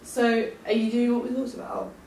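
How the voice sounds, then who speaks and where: A woman's voice: a little high, fairly even intonation, moderate pace, creaky, darkish tone. A woman reading the part of a caring, teasing young friend at a drama table read, recorded at a distance in an echoey, noisy room. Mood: encouraging.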